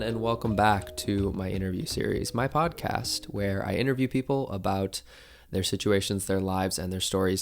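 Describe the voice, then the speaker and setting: A man's voice: fairly low pitch, vocal fry. A man hosting a podcast interview, speaking earnestly and greeting his audience. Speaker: podcast host.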